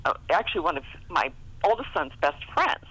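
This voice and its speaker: Bright-voiced middle-aged woman, chatty interview guest. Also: low voice, big pitch swings, a little fast, husky, poor audio. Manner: chuckles often while talking. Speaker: guest, romance novelist. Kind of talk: casual interview chat.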